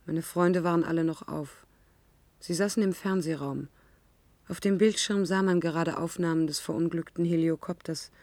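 An actress in a radio drama playing a shaken, grieving woman who tells her memories in a halting, hushed, weighty way. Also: lowish voice, steady tone, dry recording, lightly acted. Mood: numb, somber. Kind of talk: radio drama acting, first-person narration.

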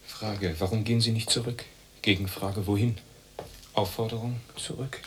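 A man's voice: on the low side, steady tone, normal speed, slight background noise, close to an everyday voice. An actor playing a wry, disillusioned East German man who quizzes himself tersely in a radio drama. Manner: clipped, terse. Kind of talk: clipped question-and-answer monologue in radio drama.

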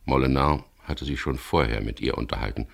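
A frank, wary boat skipper in a German radio crime play. His voice sits extremely low with a gravelly creak. He speaks plainly and keeps his guard up. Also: fairly quick, exaggerated voice. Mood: calm, narrating. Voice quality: very deep, gravelly. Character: frank, wary male boat skipper caught up in a murder case. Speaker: actor in a radio crime drama, also narrating. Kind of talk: 1970s German radio play acting, dialogue and first-person narration.

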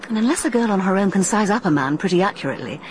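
An actress playing a bold, shrewd young woman in a radio drama, talking briskly and persuasively with plenty of self-assurance. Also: mid-range voice, lively intonation, close to an everyday voice, rough recording. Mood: candid. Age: young adult. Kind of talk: 1980s radio drama acting. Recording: radio play with faint hiss.

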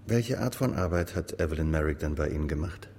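A man's voice: very deep voice, lively intonation, fairly quick, darkish tone, slightly rough, exaggerated voice. An actor playing a probing, slightly clumsy investigator in a radio drama, hesitant as he questions.

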